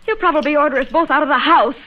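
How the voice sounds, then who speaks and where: A woman's voice: high-pitched, racing, close to an everyday voice. An actress playing an anxious, scheming married farm woman, pleading her case in 1950s radio drama style. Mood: agitated. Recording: noisy, dull old radio recording.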